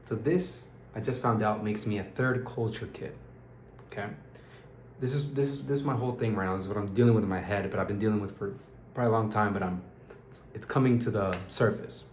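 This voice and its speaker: A man's voice: fairly low pitch, brisk, darkish tone. A young man with an American accent talks through his evening video diary. He rambles, restarts his sentences and drops slang like dude and bro, and he sounds tired. The voice bounces around an echoey room over a faint bed of ambient noise. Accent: American English. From Peru. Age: young adult. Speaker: vlogger. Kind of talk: casual diary vlog.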